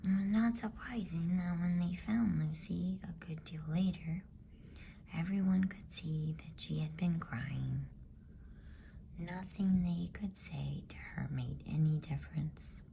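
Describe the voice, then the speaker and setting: A woman reading a bedtime story in ASMR style, in a soft, breathy, soothing whisper. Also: lowish voice, slight background noise. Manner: slow, soothing, hushed.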